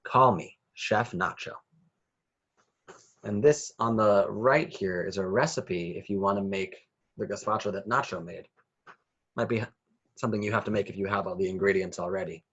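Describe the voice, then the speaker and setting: A man's voice: on the low side, fairly quick, a bit bright, slightly rough, muffled recording. A man reading a children's picture book aloud with rhythmic, sing-song phrasing. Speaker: children's story reader.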